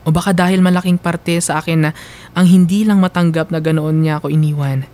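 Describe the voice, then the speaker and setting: Higher-pitched man narrating a radio drama. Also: fairly even intonation, fairly quick, dark tone. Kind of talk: first-person dramatic narration of a letter.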